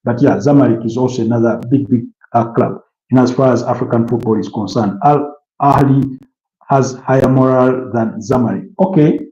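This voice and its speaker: A man's voice: mid-range voice, dark tone, roomy sound, dull audio. A man from Uganda hosting a live stream, chatting casually and answering viewer comments with many restarts and fillers. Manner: halting, repeats words, many fillers.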